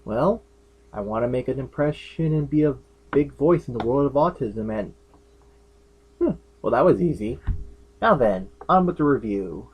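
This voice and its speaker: A man's voice: mid-range voice, expressive, moderate pace, long pauses, darkish tone, slightly rough, rough recording. A man hosting a fan fiction review, narrating in a chatty, opinionated way with enthusiastic, casual comic asides. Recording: music behind the voice. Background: background music.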